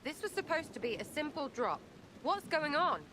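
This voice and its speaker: An actress playing a cheeky, irreverent young elf rogue in a fantasy video game. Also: female voice, high-pitched, sing-song, normal speed, bright, forceful tone, a little hiss or hum, heavily acted. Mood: annoyed.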